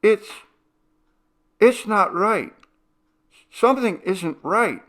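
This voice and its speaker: A man voicing an anxious young woman in a story, pleading in an urgent, halting way. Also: high voice, lively intonation, fairly bright tone, voice pushed up, heavily acted.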